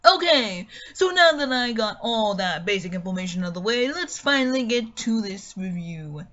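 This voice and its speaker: A woman performs a dramatic reading of an online review. Her voice sits fairly high and her delivery is expressive and theatrical. The recording is a little dull and lo-fi. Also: moderate pace. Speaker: dramatic reader.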